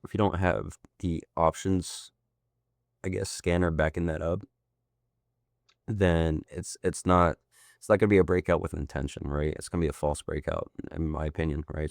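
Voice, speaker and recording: Deep-voiced male mentor, hoarse and sick, rambling casual walkthrough, close dry sound. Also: a little mellow, dry recording. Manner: loose, rambling, frequent tag questions. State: hoarse, sick and losing his voice. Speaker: trading mentor.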